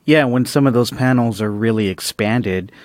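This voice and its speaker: A man speaks as a podcast co-host, chatting casually as he explains and discusses stories. His pitch is average for a man. The recording is dry, without room echo. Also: normal speed, darkish tone. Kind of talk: casual discussion with story summary.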